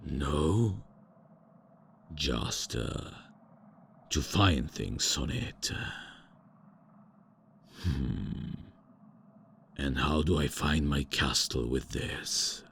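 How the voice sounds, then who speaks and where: A man's voice: fairly bright tone, a little hiss or hum, exaggerated voice. A man voicing an ancient, arrogant male vampire lord in an ASMR roleplay drama, speaking in a pompous, grand manner. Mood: curious. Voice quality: very deep, gravelly, slow and pompous.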